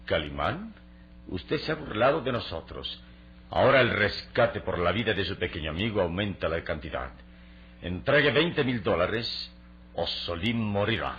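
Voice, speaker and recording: An actor playing a suave, confident adventurer hero in a vintage radio drama, with a measured, courteous and calculating delivery. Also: male voice, lowish voice, expressive, a bit bright. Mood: grave. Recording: dull, noisy old radio drama recording.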